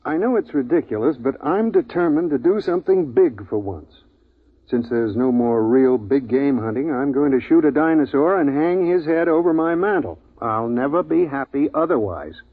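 An actor playing a meek, earnest, bookish man who longs for adventure, speaking haltingly in a 1950s radio drama. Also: mid-range voice, animated, husky, no echo. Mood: determined. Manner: hesitant, apologetic, halting. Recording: thin, phone-like 1950s radio recording.

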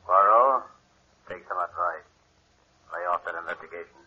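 An actor playing a tough, threatening anonymous telephone caller, curt and slangy, in a 1940s radio drama. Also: male voice, sits low, normal speed, breathy edge. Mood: menacing. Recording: dull, scratchy old radio, telephone scene.

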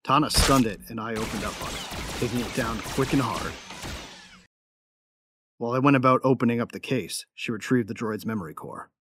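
A man's voice: mid-range voice, fairly quick, fairly bright tone, slightly rough, no echo. A man playing a tough young gunfighter and protective big brother in a sci-fi audio drama, matter-of-fact and wry. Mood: matter-of-fact, grim. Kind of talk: first-person narration and dialogue in sci-fi audio drama.

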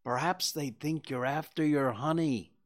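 A calm young boy answers in a plain, matter-of-fact way, as a storyteller reads him. The lines come at an unhurried storybook pace. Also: medium pitch, voice pushed up, natural voice.

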